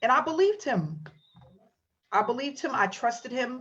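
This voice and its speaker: Lively woman hosting an online webinar. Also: fairly high pitch, a little slow. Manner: animated storytelling, acts out quotes. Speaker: webinar host. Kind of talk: motivational webinar talk. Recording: lo-fi online call audio.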